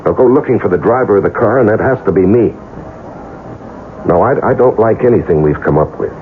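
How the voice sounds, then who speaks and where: Radio drama, 1980s: actor for reluctant male conspirator, deep register, wary and argumentative, narrow-band broadcast sound. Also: expressive, fairly quick, natural voice. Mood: firm, reasoning. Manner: cautious, argumentative, exasperated. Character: wary, skeptical male lover reluctantly plotting a murder. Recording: dull, noisy old radio broadcast recording.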